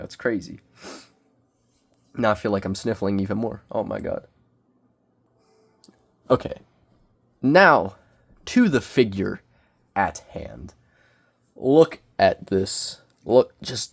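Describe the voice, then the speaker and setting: A young man with a cold, sniffling as he reviews a collectible figure in casual, self-deprecating, excitable rambling. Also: fairly low pitch, creaky. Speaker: toy reviewer. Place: small bedroom.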